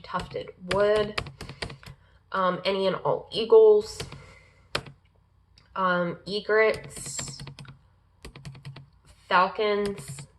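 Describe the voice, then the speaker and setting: A woman vlogger reading a long list aloud, pausing often with ums and uhs and stumbling over unfamiliar names. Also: medium pitch, fairly bright tone, slight background noise. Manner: slow, careful reading pace. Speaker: pagan lifestyle vlogger. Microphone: laptop in front of her.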